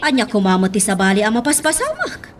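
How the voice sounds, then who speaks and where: A woman's voice: medium pitch, wildly swooping pitch, fast, a little hiss or hum. An actress playing a stern lady of the household in a radio drama, with a pointed, probing manner. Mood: weary, displeased.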